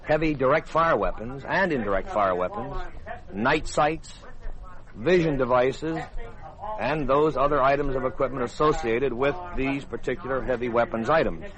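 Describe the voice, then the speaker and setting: A middle-aged American man, an army officer, gives formal, measured explanations in an interview. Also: mid-range voice, poor audio. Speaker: army colonel, head of a test board, interviewee. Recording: outdoor demonstration rehearsal noise behind, crowd. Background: military demonstration rehearsal, crowd sounds.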